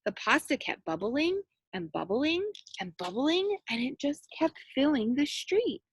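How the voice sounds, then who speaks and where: A woman reading a picture book aloud in an animated way, with asides to young listeners as a teacher would. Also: high-pitched, measured pace, no room sound, poor audio. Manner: animated, acts out quoted lines and points out pictures. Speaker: elementary school teacher reading aloud to children.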